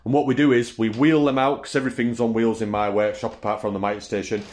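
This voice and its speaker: A British man in his thirties, chatty and relaxed, giving a casual tour of his small indoor woodworking workshop, recorded on the camera mic. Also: mid-range voice, fast. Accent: British English. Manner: relaxed, chatty, lists tools in short phrases. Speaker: woodworking channel host.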